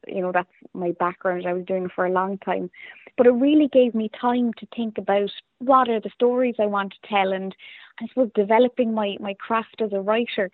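Over a narrow, tinny phone-style line, an Irish woman chats as an interview guest. She is casual, reflective and a little self-deprecating. Her pitch is mid-range and the sound is dry. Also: dry recording. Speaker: playwright and performer being interviewed.

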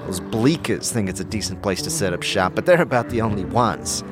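A man's voice: on the low side, animated, a little fast, natural voice. A man voicing an irreverent talking skull in a fantasy audio drama, chatty and sardonic. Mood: wry, sardonic. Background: prominent background music.

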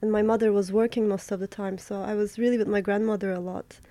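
A young woman from Turkey, an artist answering interview questions in a thoughtful, halting way, with many fillers and restarts. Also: medium pitch, fairly even intonation, warm tone, no room sound.

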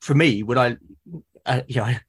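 Husky British man in conversation. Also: mid-range voice, moderate pace. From Britain. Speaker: podcast guest, fiction podcaster. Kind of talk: casual interview conversation.

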